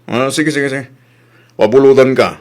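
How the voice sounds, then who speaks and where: A man's voice: mid-range voice, steady tone, unhurried, a little mellow, close to an everyday voice. A man voice-acting a grumbling, questioning husband in a comedy drama, with a Filipino accent. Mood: reluctant.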